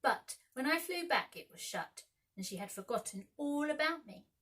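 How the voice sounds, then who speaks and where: A woman's voice: sits high, lively intonation, moderate pace, pushed and bright, recorded from a distance, voice pushed up. A woman voicing a bold, proud young boy adventurer in a storybook, speaking in emphatic exclamations. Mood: cross, stern. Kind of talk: storybook character voice.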